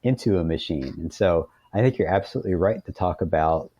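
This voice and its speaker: Low-voiced man, thoughtful podcast guest. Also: brisk, dark tone, slightly rough, dry recording, highs cut off. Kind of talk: reflective interview talk.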